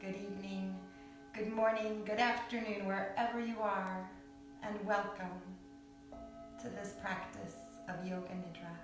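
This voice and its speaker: A woman guiding a yoga nidra meditation in a soothing, unhurried way, with long pauses between short phrases. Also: medium pitch, little pitch movement. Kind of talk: guided meditation. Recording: echoey, distant mic with background music.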